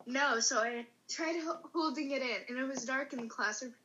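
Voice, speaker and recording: Young woman, bright and slightly high voice, chatty storyteller, dull recording with faint noise. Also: moderate pace. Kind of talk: casual chat with friends. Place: at home.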